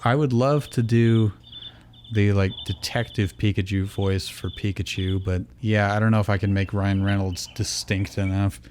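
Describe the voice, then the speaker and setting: A man's voice: lowish voice, fairly even intonation, normal speed, a little mellow, a little hiss or hum. A man narrating fan fiction as a comedic host, dropping into casual asides. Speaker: reader, host.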